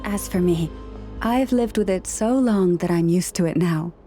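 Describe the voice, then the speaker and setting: A woman voicing a gentle young woman who hears animals, speaking softly and patiently with a slightly wry touch. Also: on the low side, measured pace, faint music behind, lightly acted. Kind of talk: game character dialogue.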